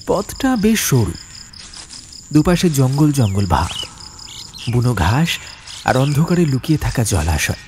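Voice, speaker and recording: A man's voice: mid-range voice, sing-song, a little slow, pauses often, darkish tone, slight background noise. A man narrating a horror audiobook in a dramatic, suspenseful storytelling style.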